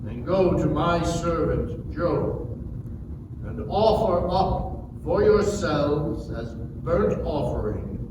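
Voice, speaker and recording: Stern, majestic God, played by a man: higher-pitched male voice, very slow declamation, scripture drama in a cavernous echo. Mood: wrathful, solemn. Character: stern, wrathful, majestic God speaking from the whirlwind. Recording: echoey hall, distant mic, background noise.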